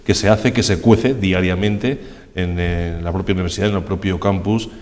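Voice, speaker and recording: A man's voice: low-pitched, steady tone, moderate pace, a little mellow. A man hosting a local TV interview and echoing his guests' words. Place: local TV studio. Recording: reverberant studio sound.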